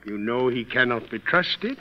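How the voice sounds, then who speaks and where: An actor playing a deferential, quick-witted French police subordinate in 1930s radio style. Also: male voice, mid-range voice, expressive, close to an everyday voice. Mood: knowing. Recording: muffled, noisy old 1930s radio recording.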